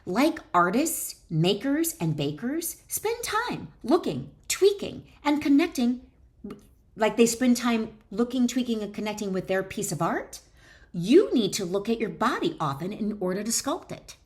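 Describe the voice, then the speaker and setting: A woman's voice: on the high side, sing-song, measured pace. A woman reading her own wellness book aloud in an emphatic, instructional way, often breaking off into chatty asides. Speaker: author and wellness educator.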